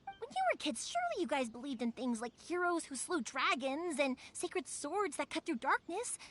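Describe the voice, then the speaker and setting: A woman playing a cheerful, warmhearted young fireworks maker in a video game, chatty, bubbly and expressive. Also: high voice, moderate pace. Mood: earnest. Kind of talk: animated video game voice acting. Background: background music.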